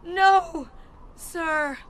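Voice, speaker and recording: A woman's voice: very high-pitched, expressive, measured pace, sharp tone, full character voice, poor audio. A woman voicing a frightened young boy in dramatic audio fiction, pleading and breathless in an emphatic way.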